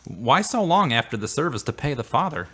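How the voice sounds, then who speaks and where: A male actor plays a terse, devout boyfriend in a radio drama. His medium-pitched voice moves up and down a lot. His delivery stays laconic and understated. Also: sing-song, fairly quick, a bit bright, husky. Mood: easygoing. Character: terse, calm, devout boyfriend of grieving woman.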